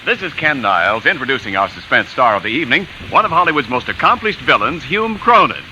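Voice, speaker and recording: A man's voice: mid-range voice, big pitch swings, fast talker, pushed and bright, a little hiss or hum, low-quality recording. A man announcing in polished, emphatic 1940s radio style, introducing a program for its sponsor. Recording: old radio broadcast.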